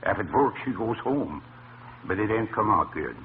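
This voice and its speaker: A man's voice: on the low side, lively intonation, normal speed, close to an everyday voice. An actor playing a folksy Scandinavian immigrant family man in broken English, in a 1940s radio comedy style. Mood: grumbling, folksy. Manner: broken English, plain-spoken. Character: folksy immigrant family man, grumbling about his in-laws. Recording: noisy, tinny old radio broadcast.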